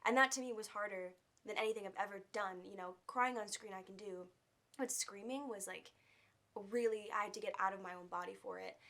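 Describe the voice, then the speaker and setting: A young adult woman with a soft, quiet voice, an actress talking candidly as an interview guest, peppering her speech with "like" and "um.". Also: mid-range voice, fairly quick. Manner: open, candid, uses fillers like "like" and "um". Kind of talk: casual interview.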